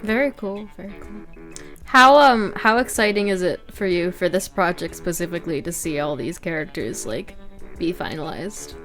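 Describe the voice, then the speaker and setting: A woman's voice: fairly low pitch, normal speed, a bit bright, soft background music. A woman hosting a casual chat in an easygoing, giggly manner. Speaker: host, artist. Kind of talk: casual chat, video commentary.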